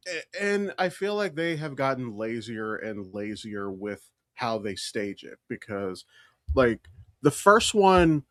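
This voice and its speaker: A man's voice: medium pitch, fairly bright tone, no room sound, clean studio sound. A man co-hosting a podcast, talking casually and opinionatedly in a blunt, profane way. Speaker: podcast co-host.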